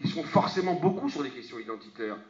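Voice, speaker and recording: A man's voice: mid-range voice, very animated, fast talker, a crowd in the background. A middle-aged man speaking to an audience in a conference hall on a handheld mic, passionate and emphatic, with rhetorical questions and frequent restarts. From France. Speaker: parliamentarian speaker on a panel. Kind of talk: impassioned public speech. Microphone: handheld microphone. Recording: echoey hall, dull lo-fi sound.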